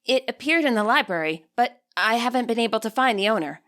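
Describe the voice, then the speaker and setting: A woman reads as a guarded, techy teenage girl in a storybook. She is wry and matter-of-fact, with a high, bright voice. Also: animated, fast. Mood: dry, practical.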